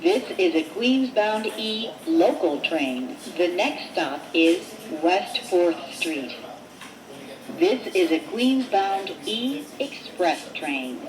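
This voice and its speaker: A woman reading scripted train announcements in a measured, formulaic manner, in short separate phrases. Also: lowish voice, big pitch swings, noisy background. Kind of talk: recorded transit announcement, scripted.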